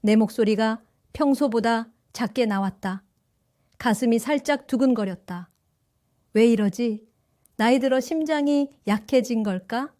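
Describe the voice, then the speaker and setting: An older woman tells her story in a calm, reflective first-person narration. Her voice sits fairly high, and the recording is close and completely dry. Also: measured pace, no room sound. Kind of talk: scripted first-person audio drama narration.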